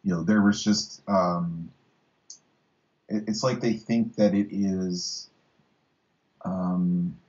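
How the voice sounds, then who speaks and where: A man in his thirties talking casually as an interviewer, haltingly searching for his words. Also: sits low, fairly even intonation, darkish tone, highs cut off. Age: 30s. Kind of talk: casual philosophical conversation.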